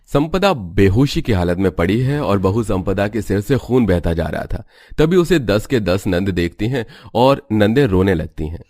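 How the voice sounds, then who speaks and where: A man's voice: lowish voice, big pitch swings, normal speed, darkish tone, no echo, studio quality, soft background music. A man narrating a scripted cartoon story.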